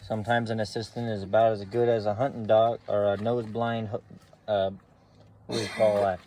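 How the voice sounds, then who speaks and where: A man's voice: on the low side, level tone, normal speed, dark tone, slight background noise. A man hosting a casual how-to tutorial from inside a truck at night, rambling and grumbling at his gear. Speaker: channel host showing truck repairs.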